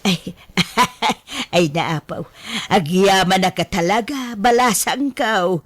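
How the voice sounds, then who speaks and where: A grasping eldest daughter in a radio drama. She speaks with an Ilocano accent in a medium-pitched voice. Her delivery is slow and theatrical, made for a family story. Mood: gushing, grateful. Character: grasping, argumentative eldest daughter, sweetly grateful when given money.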